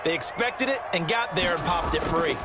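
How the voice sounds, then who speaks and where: A man's voice: on the high side, lively intonation, normal speed, loud music behind the voice, lightly acted. A man voicing a veteran color analyst with an American accent, explaining plays conversationally in a football video game. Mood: chatty, explanatory.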